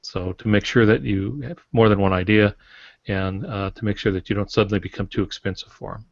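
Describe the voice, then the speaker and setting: A man's voice: on the low side, steady tone, a little fast, creaky. A man speaking as a guest author on a podcast, explaining things conversationally in an informal, folksy, opinionated way. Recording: roomy, dull lo-fi podcast audio.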